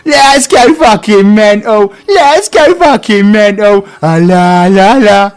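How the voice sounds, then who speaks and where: A man acts out a cocky British villain in a comic audio drama. His voice sits high and swings widely, with sweary, cheeky theatrical delivery over music. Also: lively intonation, takes it slowly. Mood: cocky, rowdy. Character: foul-mouthed cocky villain reluctantly playing Scrooge. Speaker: audio roleplay performer. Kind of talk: comedic villain audio roleplay acting.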